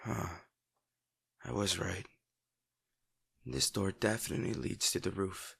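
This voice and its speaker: A young man playing a brash teenage bully with a secretly caring side in a dramatic audio roleplay, speaking gruffly with a husky, breathy voice, swearing and sighing. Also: low-pitched, a bit bright, exaggerated voice. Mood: muttering, irritated. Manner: gruff, swearing, sighing, halting when upset.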